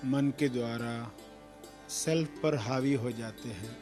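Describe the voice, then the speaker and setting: A man leading a guided meditation as a teacher, speaking deliberately in short phrases with long pauses between them. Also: mid-range voice, over music.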